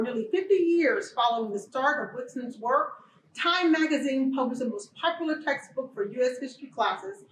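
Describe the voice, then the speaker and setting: A woman giving formal opening remarks for a college event, in a measured, earnest delivery. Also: high-pitched, echoey room, far from the mic, slight background noise, poor audio. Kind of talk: formal prepared speech.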